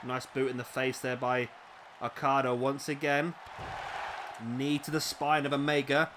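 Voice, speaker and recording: A man with a slightly raspy voice, commentating a wrestling video game play-by-play, excitable at big moves and chatty in asides. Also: mid-range voice, measured pace, noisy background.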